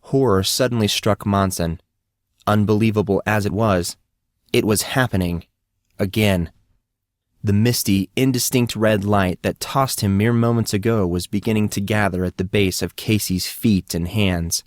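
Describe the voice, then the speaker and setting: Low-voiced man narrating, close and dry. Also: normal speed, no room sound. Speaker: audiobook narrator. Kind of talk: scripted fiction narration.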